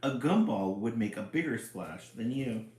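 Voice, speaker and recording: A man's voice: mid-range voice, lively intonation, moderate pace, a little mellow, roomy sound, lightly acted. Man doing a taunting young unicorn prince. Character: haughty young male unicorn prince, teasing brother.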